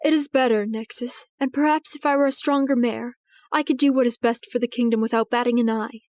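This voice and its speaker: High-voiced regal pony princess played by a woman. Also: steady tone, darkish tone, no echo, close to an everyday voice. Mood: resolute, torn. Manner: formal, earnest, measured speech. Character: anxious regal pony princess, protective big sister. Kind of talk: dramatized audio fiction reading.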